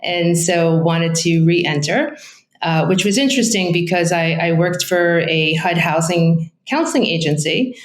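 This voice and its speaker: Middle-aged woman, brooklyn accent, italian is her first language, kinda low voice, rambly and chatty, roomy echo. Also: animated, unhurried. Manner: chatty storyteller, fills talk with "you know" and "right?". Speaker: real estate agent guest. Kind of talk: casual interview chat.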